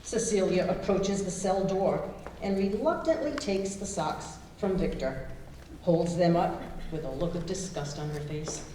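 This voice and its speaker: Low, mellow woman narrator, very echoey. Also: measured pace. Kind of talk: scripted narration of a staged reading. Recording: very echoey room, distant, faint noise.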